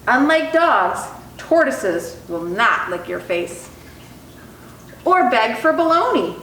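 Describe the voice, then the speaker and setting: A woman reading a children's picture book aloud in an expressive, animated storytelling style. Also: a little high, slow pace. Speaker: storytime reader. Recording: roomy echo, distant mic, faint noise.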